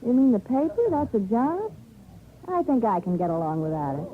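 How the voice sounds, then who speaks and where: A woman's voice: on the high side, sing-song, moderate pace. An American actress playing a bored, sardonic, flirtatious small-town newspaper woman in a 1950s radio drama, cool and offhand. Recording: tinny, noisy old radio recording.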